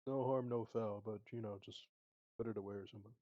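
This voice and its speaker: A man's voice: lowish voice, fairly even intonation, quick pace, warm tone, no room sound. Man gaming casually, slightly muffled mic. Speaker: player in a tabletop roleplaying game. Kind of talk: casual in-game chat.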